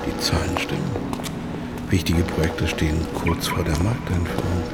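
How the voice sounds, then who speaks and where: Man playing a deep-voiced, brooding executive. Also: fairly even intonation, normal speed, over loud music, natural voice. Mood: anxious, muttering. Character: middle-aged male pharma executive, brooding and disoriented. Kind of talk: German radio play with third-person narration and inner monologue.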